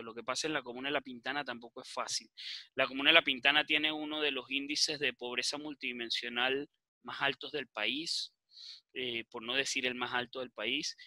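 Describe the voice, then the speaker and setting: A man with a Venezuelan accent speaks earnestly in an interview. His voice is very sharp and bright at a middling pitch, recorded dry and close. He speaks haltingly, often repeating a phrase to stress it. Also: dry recording. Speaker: municipal official for older people's services.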